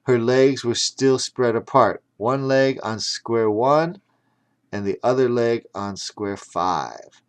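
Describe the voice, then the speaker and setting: Slow-paced male children's storyteller. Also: medium pitch, clean tone. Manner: theatrical, breaks off for explanatory asides. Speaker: author and audiobook narrator. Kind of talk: animated children's storytelling with chatty asides.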